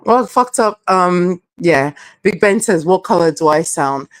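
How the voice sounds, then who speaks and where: A woman's voice: on the low side, lively intonation, a little slow, no room sound. A woman from Canada hosting a casual live stream, reading out viewer comments and giving her opinions candidly.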